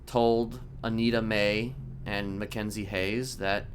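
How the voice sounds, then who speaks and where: Guy doing a really deep creepy calm criminal for game rp, halting n careful, some background noise. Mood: measured, confessional. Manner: halting, measured, deliberate, formal wording. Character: soft-spoken sinister male criminal manipulator, calmly confessing. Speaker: roleplay streamer. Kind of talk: improvised in-character game roleplay.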